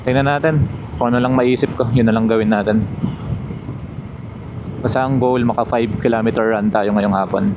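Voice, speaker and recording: A man's voice: medium pitch, steady tone, moderate pace, long pauses, muffled recording. A Filipino man with a Filipino accent narrates a casual vlog to his viewers, mixing English words into his talk. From Philippines. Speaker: motovlogger. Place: outdoors, riding a motorcycle. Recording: clear vehicle and outdoor background noise. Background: vehicle and outdoor ambient noise.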